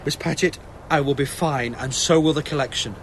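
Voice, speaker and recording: A man's voice: a little high, a little fast, a little hiss or hum, natural voice. A man playing a shaken, stubborn police inspector in a radio play, tense and clipped, with a British accent. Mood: rattled. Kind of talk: radio drama acting. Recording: music under the dialogue.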